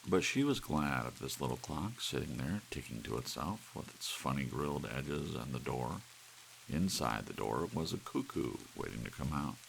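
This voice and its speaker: A man narrating an audiobook in ASMR style, with soft rain behind him. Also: very deep voice, sing-song, normal speed, creaky, a little hiss or hum, poor audio.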